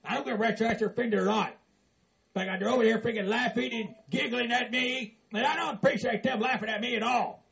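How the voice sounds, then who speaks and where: A man's voice: extremely high pitch, fairly quick, vocal fry, a bit bright, a little hiss or hum, full character voice, poor audio. A man playing a grumpy, profane middle-aged man who hates Christmas, blustering and exasperated. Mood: angry. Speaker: comedy character.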